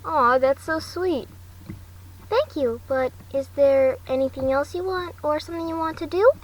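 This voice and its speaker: A woman's voice: high-pitched, moderate pace, pitched above the performer's own voice, lightly acted. A woman voicing an eager, friendly teenage boy hero student in an earnest, encouraging way. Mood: gentle, kind. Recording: distant home mic, faint noise.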